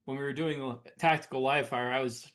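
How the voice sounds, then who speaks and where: Mid-pitched man in casual podcast talk. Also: steady tone, a little fast, a bit bright. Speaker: podcast co-host, science fiction author.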